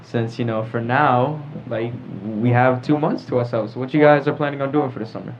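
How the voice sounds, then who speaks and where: A man's voice: medium pitch, fairly even intonation, a little fast, soft background music. A teenage or young adult American man hosting a casual group chat show. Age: teens or young adult. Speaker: host of a teen talk show. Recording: dull lo-fi audio with clear background noise.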